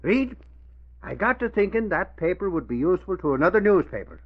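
A boastful newshound. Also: male voice, sits high, very animated, a little fast, full character voice. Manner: boastful, rambling, folksy grammar. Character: talkative male reporter, self-important amateur sleuth. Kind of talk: 1940s radio acting. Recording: muffled old radio recording.

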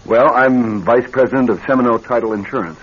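An actor in a radio drama playing a nervous young married executive troubled by bad dreams, speaking in a halting, earnest way. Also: male voice, mid-range voice, breathy edge, lightly acted. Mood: self-assured. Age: young adult. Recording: dull band-limited old radio recording.

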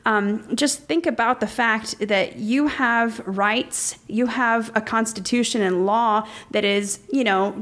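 A middle-aged woman chatting on a podcast, telling a personal story in an earnest, rambling way with many restarts and fillers. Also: on the high side. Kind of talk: casual conversational storytelling.

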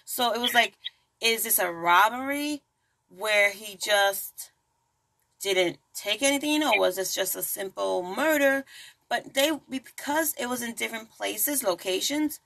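Slow, gravelly woman podcaster. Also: a little high, animated, a bit bright. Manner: chatty, asides and jokes. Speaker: podcast host. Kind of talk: casual true crime podcast chat.

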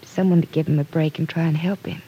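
Earnest, soft-hearted sister, husky voice. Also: female voice, low-pitched, fairly even intonation, quick pace, lo-fi sound. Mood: troubled, pleading. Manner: earnest, pleading, protesting. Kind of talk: 1940s radio drama acting.

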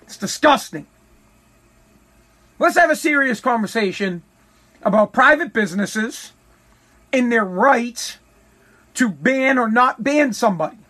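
A man hosting a podcast with a hoarse, worn-out voice, delivering a bombastic comedic rant full of stammers and repeated words. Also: very high voice, lively intonation, measured pace, long pauses, pushed and bright. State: hoarse, lost voice. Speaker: podcast host.

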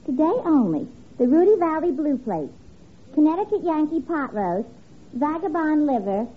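A sassy young tavern woman, high-voiced and pert. She lands blunt wisecracks in old radio sitcom style, through a thin broadcast thick with noise. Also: very animated, normal speed, phone-quality sound. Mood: pert, mocking. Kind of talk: 1950s radio sitcom acting.

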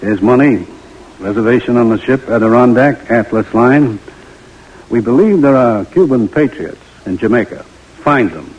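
An actor playing a stern, commanding army major, terse and dryly sardonic, in an old-time radio drama. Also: male voice, medium pitch, animated, moderate pace, a little mellow, husky. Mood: grave, commanding. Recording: muffled vintage radio recording.

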